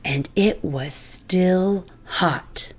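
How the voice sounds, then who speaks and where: A woman hosting a children's read-aloud, narrating a storybook expressively and deliberately for young listeners. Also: lowish voice. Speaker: host reading children's books aloud.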